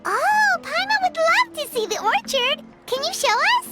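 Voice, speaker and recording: A woman voicing a tiny, excitable, childlike fairy companion in a video game, cheerful and exclamatory, speaking of herself in the third person. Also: moderate pace, heavily acted. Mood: perky, eager. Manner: squeaky, exclamatory, refers to self in third person. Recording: music playing behind the voice.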